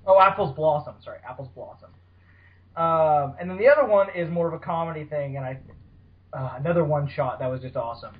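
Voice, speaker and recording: A man's voice: fairly high pitch, fairly quick. A man hosting a casual fan fiction chat podcast, with a teasing, playfully profane manner. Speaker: podcast host. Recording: dull, lo-fi audio.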